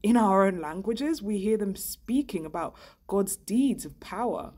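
A woman's voice: mid-range voice, animated, unhurried, a little mellow. A young woman reading scripture aloud, recorded indoors at arm's length. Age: young adult. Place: indoor room.

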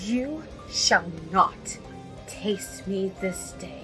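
A woman's voice: mid-range voice, expressive, drawn-out words, over music. A woman playing a proud, defiant elf in a horror audio drama, with haughty, formal, theatrical speech. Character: proud, defiant elf fighter under attack.

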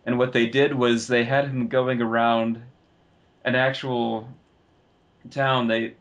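A man speaks slowly as a co-host in a casual discussion. His mid-pitched delivery is halting, and he often pauses and repeats a phrase before carrying on. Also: level tone. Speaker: podcast co-host. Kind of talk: casual film and game discussion.

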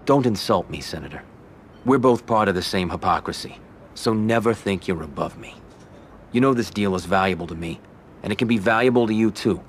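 Male actor as composed young mobster, lowish voice, firm, businesslike, video game cutscene, faint background noise. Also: lively intonation, brisk, natural voice. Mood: firm, driven. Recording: game audio with background ambience.

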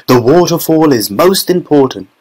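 A man's voice: on the high side, expressive, moderate pace, a little mellow, husky, voice pushed up. Guy doing a proud theatrical candy factory boss for a storybook, gushing and savouring every word. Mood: proud, excited. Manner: effusive, emphatic, relishing his words. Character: exuberant, theatrical chocolate factory owner, proud showman.

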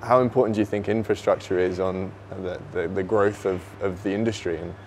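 Man, host, from England, low voice, chatty questions, faint background noise. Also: steady tone, fairly quick. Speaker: host, interviewer. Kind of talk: interview questions on a tour. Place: warehouse and office.

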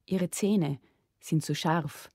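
A woman's voice: lowish voice, lively intonation, unhurried, no echo, studio quality. A woman voicing an earnest, easily offended little girl in a storybook, plaintive and insistent. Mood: worried.

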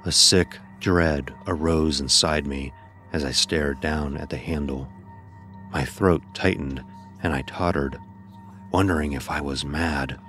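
Very deep-voiced male horror narrator. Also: fairly even intonation, fairly bright tone, soft background music. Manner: grave, deliberate storytelling. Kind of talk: scripted first-person horror narration.

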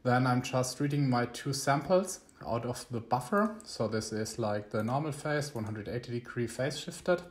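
A man's voice: medium pitch, moderate pace, few pauses. Man explaining like a tutorial host. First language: not English.

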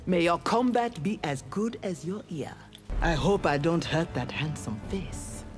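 A woman's voice: fairly low pitch, animated, a little slow, soft background music. A woman with a Nigerian accent voicing a proud, righteous young ninja warrior in a fighting game, formal and defiant. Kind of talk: video game fight dialogue.

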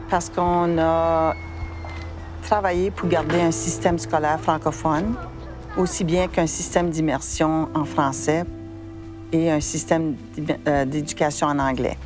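Canadian french lady, really low voice, interview with music under it. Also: fairly even intonation, measured pace. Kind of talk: documentary interview.

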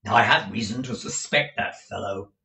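A man's voice: lowish voice, normal speed, pushed and bright, roomy sound, recorded from a distance. A man reading aloud and voicing a cold, domineering older moneylender who speaks curtly and dryly. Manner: curt, dry, peremptory.

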